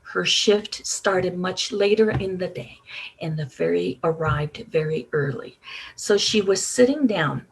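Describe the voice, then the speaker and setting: A woman guest speaker on a video call telling a personal story, hesitant with many pauses and restarts. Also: lowish voice, gravelly, slightly rough, poor audio. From Japan.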